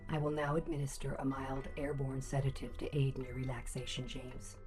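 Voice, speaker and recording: An actress playing a possessive, coldly murderous home computer in a radio drama, with measured, formal, emotionless phrasing. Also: female voice, rumbling low, flat delivery, a little mellow, faint music behind. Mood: soothing, clinical.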